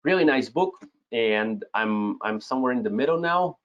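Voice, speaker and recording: A man's voice: medium pitch, animated, moderate pace, a bit bright. A man in his thirties whose first language is Russian, teaching a live webinar with a Russian accent. He talks straight to the chat, tags his points with "yes?" and chuckles now and then. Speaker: webinar host, Amazon seller coach. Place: home room, indoors. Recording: slightly dull lo-fi webcam audio.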